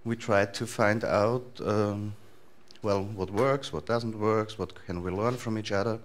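A man in his thirties with an Austrian accent, giving a relaxed, loosely structured talk to a conference audience in a large lecture hall. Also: fairly low pitch, measured pace. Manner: relaxed, thinking aloud with frequent fillers and restarts. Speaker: conference speaker.

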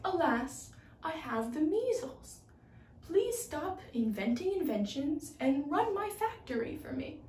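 A woman's voice: high-pitched, very animated, measured pace, darkish tone. A teenage young woman voicing a businesslike male factory president in a storybook. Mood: instructing. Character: sick, middle-aged male cheese factory president. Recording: roomy, distant microphone.

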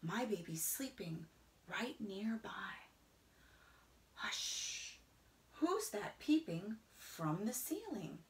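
A middle-aged woman gives an expressive children's read-aloud in a roomy indoor space. Her delivery is slow and rhythmic, with wide swings in pitch. She often softens into a hushed whisper. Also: a little hiss or hum. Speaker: storyteller reading a picture book aloud.

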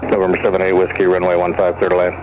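A man working as an airport tower controller, giving procedural clearances over aviation radio. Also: deep voice, completely flat delivery, very fast, dull audio, background noise.

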